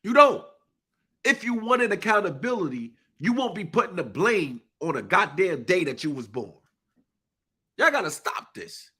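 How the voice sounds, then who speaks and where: A man's voice: high voice, very animated, moderate pace, pushed and bright, breathy edge. Stuffy, preachy man. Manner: preachy, emphatic, uses informal speech. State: congested, stuffy nose. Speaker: live-stream speaker. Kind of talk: impassioned live monologue.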